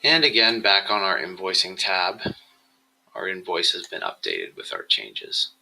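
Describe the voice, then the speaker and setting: Bright, crisp-edged man narrating a software tutorial. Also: sits low, huge pitch swings, unhurried, slight background noise, low-quality recording. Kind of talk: instructional screencast narration.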